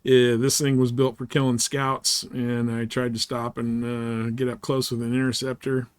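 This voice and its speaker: Guy streaming a game, normal pitch, relaxed rambly slangy chat. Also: moderate pace, clean tone, no room sound, clean studio sound. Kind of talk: casual live gameplay chat and commentary.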